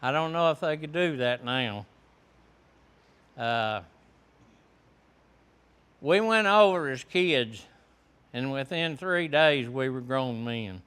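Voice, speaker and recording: A man, a veteran telling his story to a high school class in a plain, folksy, unhurried way. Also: animated, fairly bright tone, clear, resonant tone. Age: 70s. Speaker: veteran guest speaker. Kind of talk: classroom talk to students.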